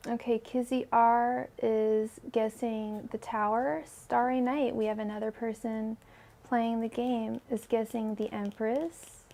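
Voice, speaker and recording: A woman's voice: on the high side, flat delivery, takes it slowly, gravelly, warm tone. A young woman hosting a live tarot stream, chatting casually with viewers and saying her voice feels weak today. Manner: chatty, filler-heavy, addresses viewers by name. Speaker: tarot reader hosting a livestream. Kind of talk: casual livestream chat.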